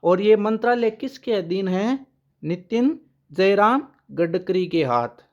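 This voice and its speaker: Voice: mid-range voice, expressive, slow pace, dull audio. A current affairs teacher giving an exam-prep lesson with memory tricks, who asks questions aloud, answers them and repeats the key names.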